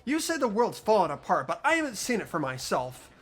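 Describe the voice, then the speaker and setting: Woman playing defiant, earnest young student, animated. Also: mid-range voice, brisk, soft background music. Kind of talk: fan voice-over of a video game.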